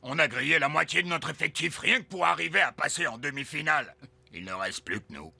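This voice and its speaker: A man's voice: on the high side, lively intonation, a little fast, gravelly, sharp tone, breathy edge, heavily acted. A man voicing an earnest, loyal cartoon sports team captain, speaking in a grave, plain way. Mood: downcast. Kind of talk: animated series dubbing.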